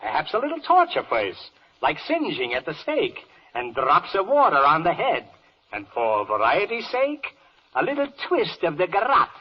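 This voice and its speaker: Pompous comic judge, old radio. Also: male voice, a little high, wildly swooping pitch, moderate pace, a little hiss or hum. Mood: gleefully wrathful. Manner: blustering, theatrical, speaks in rhyme. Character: pompous, vain, bloodthirsty but fickle comic judge. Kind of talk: 1940s radio verse comedy acting. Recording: muffled old radio broadcast.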